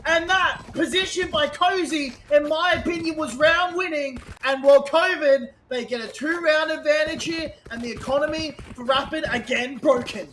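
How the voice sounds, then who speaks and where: A man calling an esports match with a high, excitable voice that keeps climbing into shouts at the big plays, going hoarse as he goes. He sounds like he's in an echoey room, and there's clear noise running behind him. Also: normal speed, few pauses. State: losing his voice. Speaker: esports caster. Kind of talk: play-by-play esports commentary.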